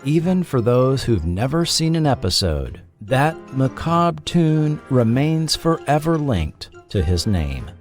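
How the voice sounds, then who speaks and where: A man narrating a scripted documentary in a measured, deliberate way, letting his phrases fall at the end. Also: medium pitch, big pitch swings. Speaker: podcast host and narrator. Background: background music.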